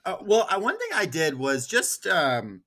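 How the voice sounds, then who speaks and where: A man's voice: on the high side, big pitch swings, unhurried, sharp tone. A man chatting casually about politics as a podcast co-host, with a wry, ironic way of talking.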